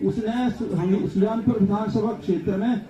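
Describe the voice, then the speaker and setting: A man with a high voice gives a political speech to a public gathering. He builds his case through long, repeated lists. The sound is distant, echoey and dull. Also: fairly even intonation, moderate pace, crowd noise. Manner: rhetorical, repetitive lists. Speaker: political speaker.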